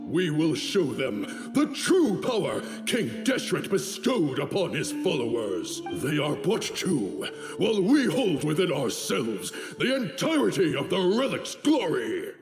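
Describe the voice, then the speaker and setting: An actor playing a proud, fanatical mercenary commander, fallen but defiant, in grandiose declamatory video game style. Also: male voice, a little high, wildly swooping pitch, unhurried, exaggerated voice. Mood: rallying, fervent. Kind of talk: scripted video game acting. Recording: echoey hall reverb, game music behind.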